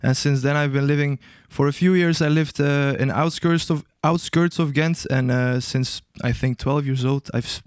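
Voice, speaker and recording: Smooth-voiced young man, hesitant and searching. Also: on the high side, fairly even intonation, moderate pace, hardly any pauses, no room sound, clean studio sound. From Albania. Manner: hesitant, searching for words, many restarts. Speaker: guest musician. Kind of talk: casual interview answers.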